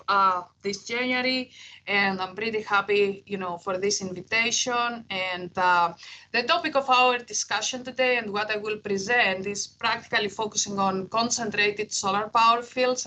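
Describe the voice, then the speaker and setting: A woman's voice: medium pitch, sharp tone, poor audio. A middle-aged woman from Greece with a Greek accent, giving an online keynote lecture as a professor, with frequent "uh" fillers. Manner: frequent "uh" fillers, earnest and explanatory. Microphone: video call.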